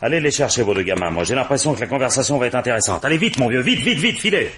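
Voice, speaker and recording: An actor playing a shrewd, authoritative police commissioner who questions insistently and methodically in a French radio crime drama. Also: male voice, medium pitch, sing-song, very fast, music in the background, lightly acted. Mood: brisk, urging.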